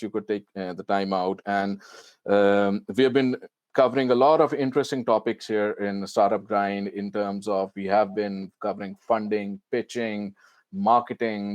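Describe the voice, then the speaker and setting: A man hosting an informal fireside chat, putting his questions together in a halting way. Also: lowish voice, a little mellow, no room sound. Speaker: event host and interviewer.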